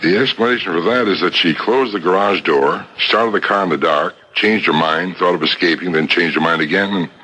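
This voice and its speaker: Very deep-voiced, skeptical police captain. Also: male voice, a little fast, hardly any pauses, sharp tone, husky, heavily acted. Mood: dismissive, reasoning. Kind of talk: 1970s radio drama acting. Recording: noisy old radio broadcast recording.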